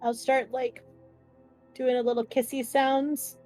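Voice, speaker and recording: A woman's voice: high-pitched, little pitch movement, takes it slowly, dark tone, soft background music. A woman playing a tabletop roleplaying game, chatting and roleplaying casually in a playful, gushing way.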